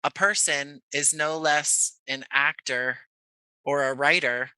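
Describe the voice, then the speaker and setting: A man's voice: fairly high pitch, fairly even intonation, slow, pushed and bright, clean tone, no room sound, poor audio. An American man speaking as a podcast interview guest, choosing his words carefully and earnestly. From Jersey City, New Jersey, United States. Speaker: interview guest, playwright and actor.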